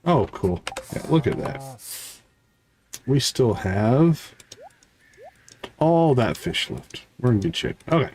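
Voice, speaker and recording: A man's voice: medium pitch, big pitch swings, slow, pauses often, a little mellow, a little hiss or hum. A man giving casual live game commentary as a streamer, thinking aloud and chatting to viewers.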